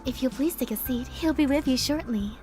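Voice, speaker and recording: Woman as chirpy high-voiced receptionist, polite customer service game character, music behind. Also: fairly quick, lightly acted. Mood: perky, welcoming. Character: perky, courteous young female corporate receptionist. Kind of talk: visual novel game voice acting.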